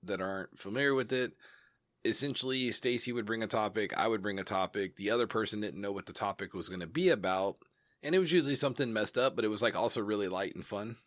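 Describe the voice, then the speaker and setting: A man's voice: fairly low pitch, fairly bright tone, no echo. A man co-hosting a podcast, rambling through ideas and teasing his co-host in casual chat. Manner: rambling, teasing, quick to laugh.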